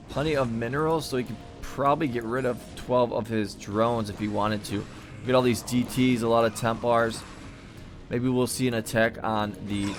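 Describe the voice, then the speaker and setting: A man giving live commentary on a real-time strategy match in an easygoing, thinking-aloud way, and he is losing his voice. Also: medium pitch. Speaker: game caster.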